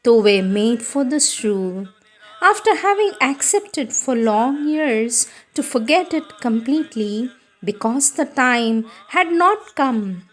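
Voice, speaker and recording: A woman reads devotional prose in a fairly high voice at a very slow pace. Her delivery is solemn and deliberate, with formal, measured phrasing throughout. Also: animated. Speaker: reader of devotional writings. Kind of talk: solemn scripted reading of spiritual prose.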